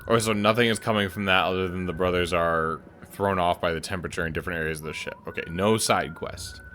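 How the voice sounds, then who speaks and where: A man's voice: deep voice, steady tone, quick pace, a bit bright, slight background noise. A man playing a game on camera, thinking aloud and making wry jokes as he goes. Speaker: gaming YouTuber. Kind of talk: let's play commentary.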